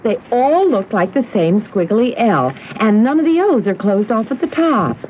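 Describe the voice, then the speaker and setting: An inquisitive, playful wife who loves a romantic puzzle, acted by a woman in a radio play. Her delivery is highly expressive, with lively swings in pitch. The recording is thin and phone-like, with a faint layer of noise. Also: normal speed, lightly acted. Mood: intrigued, excited. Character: curious, expressive wife, romantic amateur sleuth. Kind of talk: radio drama acting. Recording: tinny, hissy old radio broadcast recording.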